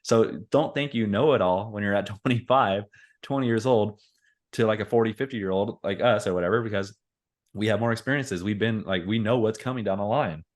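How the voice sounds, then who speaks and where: A man's voice: lowish voice, a little fast. A man in his forties co-hosting a podcast, telling stories casually and acting out what others said. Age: 40s. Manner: animated storytelling with acted-out quotes. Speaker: podcast co-host. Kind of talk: casual chat.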